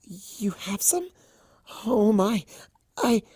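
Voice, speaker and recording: A man voicing a shy, gentle young female pegasus pony in a cartoon fan story, soft, breathy and hesitant. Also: medium pitch, big pitch swings, very slow, no echo, clean studio sound, voice pushed up, exaggerated voice. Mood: excited. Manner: hesitant, stammering.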